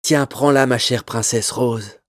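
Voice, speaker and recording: A man playing a charming, kind young man in a children's cartoon tale, speaking gently and tenderly. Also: medium pitch, unhurried, lightly acted.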